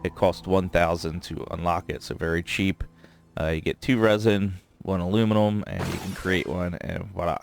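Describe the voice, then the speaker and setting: A man giving casual let's-play commentary, thinking aloud and rambling with fillers as he goes, his voice going a bit hoarse. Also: deep voice, fairly even intonation, normal speed. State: losing his voice. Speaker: gameplay commentator.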